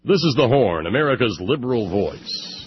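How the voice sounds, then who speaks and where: A man hosting a political call-in radio show solo, in a sardonic, mock-exasperated way with loose, emphatic, halting delivery and dull lo-fi radio sound. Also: medium pitch, very animated, breathy edge, no echo, soft background music.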